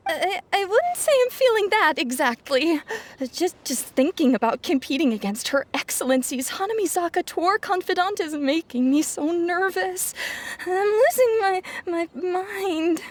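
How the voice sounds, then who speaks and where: A woman's voice: extremely high pitch, animated, measured pace. A woman voicing a timid young woman writer who stammers anxiously in a video game. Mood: nervous.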